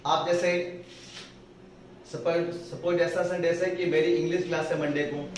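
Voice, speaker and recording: A male spoken-English teacher with a Hindi accent, who explains slowly and repeats phrases word by word. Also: fairly high pitch, level tone, slight background noise. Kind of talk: classroom grammar lesson. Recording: echoey room, voice from far off.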